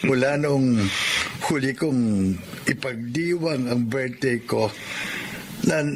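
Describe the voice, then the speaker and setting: Man playing a gentle lonely old grandfather. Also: medium pitch, slow, close to an everyday voice. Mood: warm. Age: older. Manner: soft, wistful, chuckling often. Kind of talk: radio drama acting. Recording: noisy background.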